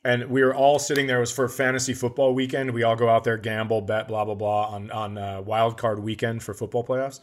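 Male comedy co-host with an average-pitched voice, casual and wry, swears freely while relaying who said what. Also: flat delivery, brisk, hardly any pauses. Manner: wry, swearing, quotes dialogue.

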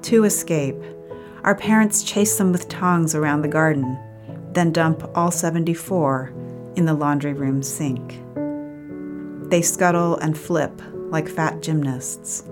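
A woman poet reading her own poem in a measured, literary style. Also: low-pitched, music in the background.

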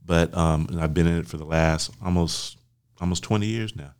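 An older man, a grandfather and guest on a podcast, chatting in a laid-back, affirming way, often trailing off softly. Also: sits low, moderate pace, clear, resonant tone. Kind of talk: casual chat.

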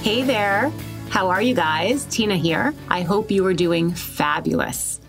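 A woman's voice: medium pitch, unhurried, gravelly, soft background music. A woman with a Long Island New York accent hosting a podcast in an enthusiastic, chatty way. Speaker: podcast host. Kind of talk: upbeat podcast introduction and interview.

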